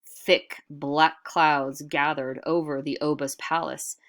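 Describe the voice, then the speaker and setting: A woman storyteller reading a picture book aloud in an expressive, dramatic way with deliberate emphasis. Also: deep voice.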